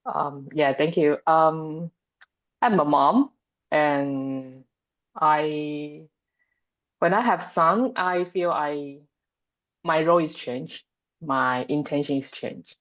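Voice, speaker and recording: Female guest, Taiwanese accent, low and slow, earnest halting phrasing, non-native speaker. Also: dull audio. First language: Mandarin Chinese. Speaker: guest, facilitator. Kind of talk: personal interview answer.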